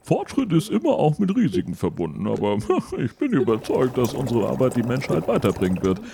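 A man playing a confident, smooth-talking lab chief who makes grand, persuasive speeches in a creaky voice, in film-dub style. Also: medium pitch, huge pitch swings, fairly quick, noisy background. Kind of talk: German dub-style dramatized reading.

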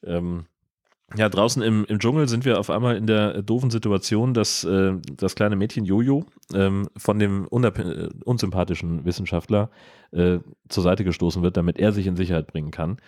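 German guy cohosting a film podcast, lowish voice, dry ironic way of talking. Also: normal speed, a little mellow. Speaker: podcast co-host. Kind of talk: casual film discussion podcast.

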